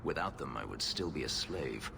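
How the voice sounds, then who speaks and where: An actor playing a brooding, bitter fugitive slave warrior in a video game, with grave, formal, measured speech. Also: male voice, a bass voice, expressive, vocal fry, noisy background, heavily acted. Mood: guarded, earnest.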